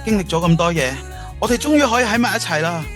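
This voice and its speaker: A man acting as an earnest, lovesick schoolboy in a Cantonese audio drama from Hong Kong. His voice sits high and swings widely with feeling. It rings in a long reverb over music. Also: expressive, fast talker. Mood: joyful, devoted. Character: lovesick young male student, sent back in time, earnest and anguished.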